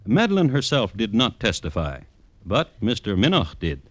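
An actor playing an urbane, droll crime connoisseur who narrates old murders in a deliberate 1950s radio style. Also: male voice, on the low side, wildly swooping pitch, no echo. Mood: dry, deliberate. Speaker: host and narrator.

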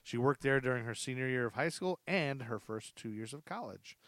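A man's voice: medium pitch, expressive, brisk, fairly bright tone, no room sound. A man hosting a podcast, telling true crime stories partly read from notes, with informal, joking asides. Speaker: podcast host. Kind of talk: true crime storytelling, part read from notes, part casual chat.

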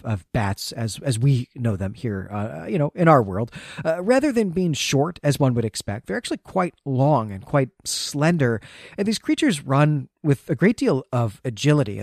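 Male podcast host, medium pitch, dry recording, analytical, conversational recap, thinking aloud. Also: a little mellow, dry recording. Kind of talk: conversational literary recap, partly reading quotes aloud.

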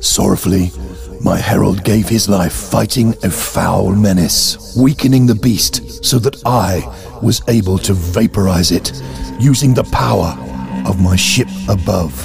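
Guy doing an alien android villain, super gravelly and low, grand formal speechifying, music behind. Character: pompous alien android posing as benevolent deity. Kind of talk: dramatic audio drama speech.

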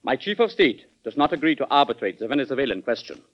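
An actor playing a formal, haughty ambassador in an old-time radio drama, courteous but stiffly evasive. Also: male voice, mid-range voice, sing-song, fast talker. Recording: dull old radio broadcast recording.

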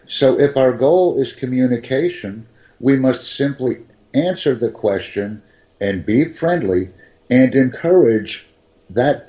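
A man teaching English conversation, speaking slowly and deliberately and repeating his key points. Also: medium pitch, dark tone, dull audio. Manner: slow, carefully enunciated, repeats key phrases. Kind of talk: slow, deliberate teaching talk.

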